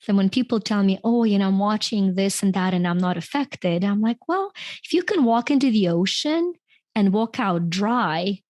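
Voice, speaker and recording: A woman's voice: mid-range voice, lively intonation, normal speed, a little mellow, no echo, clean studio sound. Chatty middle-aged woman. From Ukraine. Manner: chatty, laughs often mid-sentence, many fillers. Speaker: podcast guest, author and coach. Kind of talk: conversational interview storytelling.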